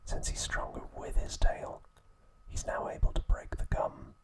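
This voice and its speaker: A man speaks entirely in a breathy whisper, as the host of an ASMR video. He narrates a recap softly and in measured, short phrases.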